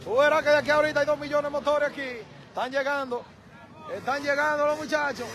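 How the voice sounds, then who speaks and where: A man with a Dominican accent giving excitable live street commentary, full of exclamations, recorded on a phone outdoors. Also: very high voice, fast talker. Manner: excitable, frequent exclamations and laughter. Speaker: livestream street reporter. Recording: noisy street crowd livestream. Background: crowd and traffic noise.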